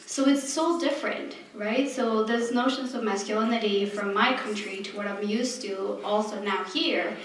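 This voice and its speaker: A woman from Mexico with a Mexican accent, a native Spanish speaker on a panel, speaking earnestly with many pauses and ums. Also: mid-range voice, steady tone. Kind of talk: earnest personal account on a panel. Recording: echoey hall, far from the mic, faint noise.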